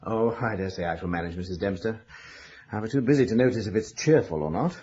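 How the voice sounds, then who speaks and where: A man's voice: fairly low pitch, lively intonation, fast, darkish tone, husky. A man playing a studious, unworried young scholar with an educated British accent, precise and dryly dismissive, in a radio drama. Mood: cheerful, dismissive. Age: young adult. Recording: dull lo-fi radio drama recording.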